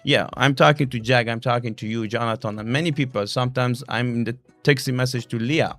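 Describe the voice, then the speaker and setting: A non-native English-speaking man, a guest on a live talk show, speaking earnestly in halting, broken English. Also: mid-range voice, fairly even intonation, fairly bright tone, faint music behind. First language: not English. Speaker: guest, actor and model. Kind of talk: casual live show chat.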